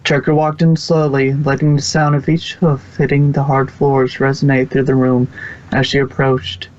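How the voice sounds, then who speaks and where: A man's voice: mid-range voice, little pitch movement, normal speed, darkish tone. A man narrating a fan fiction story aloud as an amateur reader, in storybook style. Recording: dull, lo-fi home microphone.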